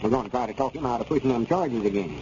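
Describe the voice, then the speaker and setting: Muffled old radio show, guy doing a fretful southern country codger, soft dark tone, folksy drawl. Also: medium pitch, rapid-fire, natural voice. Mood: worried. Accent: rural Southern US. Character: worried, self-important old country storekeeper. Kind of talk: 1930s radio comedy acting. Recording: muffled, noisy 1930s radio recording.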